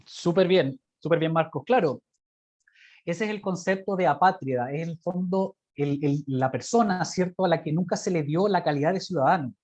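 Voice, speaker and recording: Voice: mid-range voice, normal speed, a little mellow. A lecturer with a Chilean accent presenting informally from slides over a video call, speaking hesitantly and often seeking agreement with little tag questions. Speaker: guest lecturer. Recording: muffled lo-fi video call audio.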